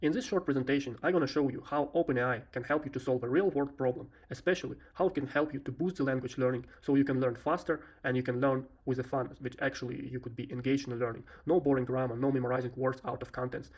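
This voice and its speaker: A man in his thirties with a Russian accent walks through a coding demo in an unhurried, explanatory way, pausing often with filler sounds. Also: mid-range voice, darkish tone. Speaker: software developer presenting a demo. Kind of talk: screen-recorded coding walkthrough.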